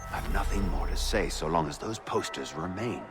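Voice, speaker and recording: An actor playing a courteous, dryly wry colonial revolutionary gentleman with measured, formal phrasing in a video game. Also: deep voice, lively intonation. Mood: composed, dry. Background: music.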